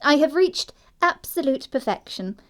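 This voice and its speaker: A woman's voice: sits high, very animated, measured pace. A woman playing a prim, dreamy, self-assured young society girl with a precise, airy delivery in an audio production of a classic comedy. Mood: prim, eager.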